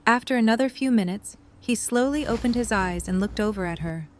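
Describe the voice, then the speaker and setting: A woman's voice: on the high side, a little fast, a little hiss or hum. A woman narrator reading scripted story narration for an audio drama.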